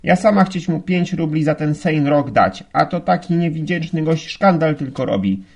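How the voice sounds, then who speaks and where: A man's voice: on the high side, animated, moderate pace, gravelly, breathy edge, pitched above the performer's own voice, heavily acted. A man voicing a stout, gushing, indignant German madam who chatters in broken grammar with a German accent. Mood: indignant, pleading.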